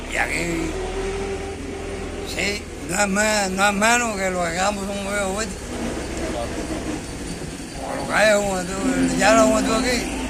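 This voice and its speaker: A man's voice: sits high, heavy background noise. A Cuban man in his fifties, a local villager, speaking bluntly and colloquially in a casual interview, swearing freely. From Cuba. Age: 50s.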